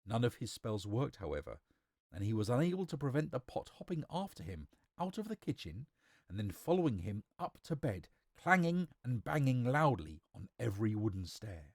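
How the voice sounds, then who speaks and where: A man's voice: mid-range voice, very animated, normal speed, a little mellow, no room sound, clean studio sound. A man reading a storybook aloud as a theatrical, emphatic narrator.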